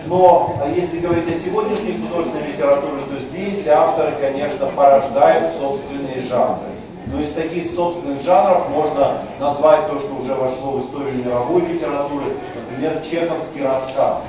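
Lecturer in a very echoey room. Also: male voice, on the high side, steady tone, a crowd in the background. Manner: repeats key terms slowly, conversational asides. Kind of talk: university lecture. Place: lecture hall. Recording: far-off mic in an echoey lecture room with noise.

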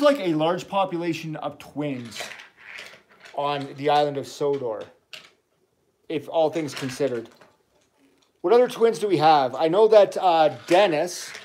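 An enthusiastic middle-aged man hosts a casual toy livestream, chatting and rambling about his train collection. His voice sits a little high for a man. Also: a little mellow, a little hiss or hum. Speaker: toy channel host, dad.

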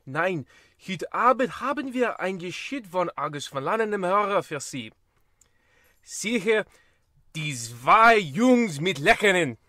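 A man voicing a loud, emphatic radio presenter, in a parody broadcast. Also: high-pitched, very animated, unhurried, no echo, clean studio sound, heavily acted. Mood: booming greeting. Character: bellowing German radio presenter.

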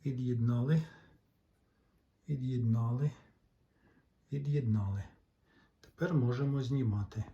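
A man giving a casual hands-on repair walkthrough, halting and thinking aloud in short phrases. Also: mid-range voice, mellow, dull audio. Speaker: hobbyist repairer giving a demonstration.

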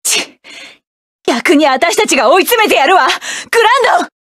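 An actress voicing a proud, combative young woman mecha pilot, delivering sharp, commanding battle lines for a game. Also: very high-pitched, expressive, moderate pace, no room sound, studio quality. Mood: fierce, defiant.

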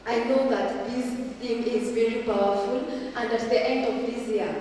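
Young female preacher, Kenyan English accent, exhorting, slowing for emphasis; handheld mic, long church reverb, faint ambience. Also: on the high side, steady tone, a little mellow, a little hiss or hum. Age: young adult. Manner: earnest exhorting, slows for emphasis, gestures while speaking. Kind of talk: sermon. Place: church hall, indoors. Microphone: handheld mic, camera from a distance. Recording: echoey hall from far off.

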